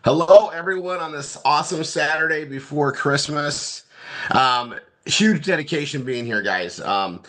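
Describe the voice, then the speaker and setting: A man who is hoarse today, running a live online marketing training with loose, repetitive, upbeat talk. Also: a little high, sharp tone.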